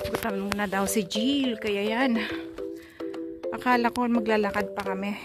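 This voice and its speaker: A woman with a Filipino accent talks through her travel vlog in a casual, unscripted way. She takes her time, with slow, chatty phrasing at an average pitch. Loud music plays behind her voice. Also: steady tone. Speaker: travel vlogger.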